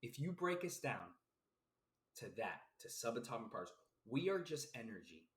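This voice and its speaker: A man's voice: mid-range voice, big pitch swings, normal speed. A man from South Florida, a life coach, giving a casual, emphatic philosophical monologue full of repetition, fillers and the odd swear word. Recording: roomy echo.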